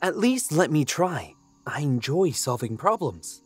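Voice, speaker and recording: A man's voice: a little high, very animated, a little slow, husky, no echo, faint music behind, heavily acted. A man playing a fussy, confident god of order in a fantasy audio drama, pedantic and precise. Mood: brisk, helpful.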